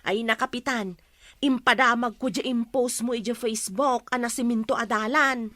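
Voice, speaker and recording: A woman's voice: fairly high pitch, fairly even intonation, moderate pace, a bit bright, dry recording, close to an everyday voice. A woman playing an eager, gossipy village woman in a comedy radio drama, chatty and exclamatory. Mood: flattering.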